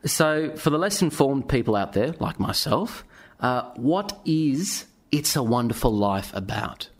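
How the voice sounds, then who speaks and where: A man's voice: medium pitch, very animated, unhurried. A man hosting a podcast, introducing guests and chatting with them in an interview style.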